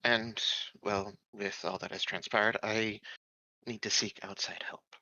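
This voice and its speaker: A man's voice: fairly low pitch, steady tone, unhurried, sharp tone, dry recording, heavily acted. A man voicing an earnest, secretive non-human colony security officer in a tabletop game, polite and hesitant, on a dull, dry recording. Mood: confiding.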